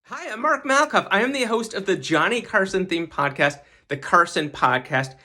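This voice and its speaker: A man's voice: high voice, animated, normal speed, music in the background. A man who hosts a podcast, giving casual, emphatic commentary and often repeating words for stress. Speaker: podcast host giving a guest segment.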